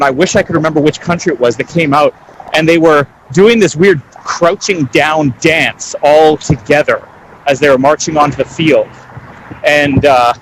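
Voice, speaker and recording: Man, Canadian accent, fairly high pitch, podcast co-host, casual, dry self-deprecating humour, noticeably noisy recording. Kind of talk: casual chat.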